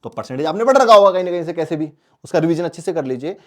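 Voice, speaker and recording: A man's voice: a little high, lively intonation, racing, fairly bright tone. A man coaching students as a teacher, emphatic, repeating key phrases and answering his own questions. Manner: asks questions and answers them himself. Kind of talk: exam strategy lesson addressed to students.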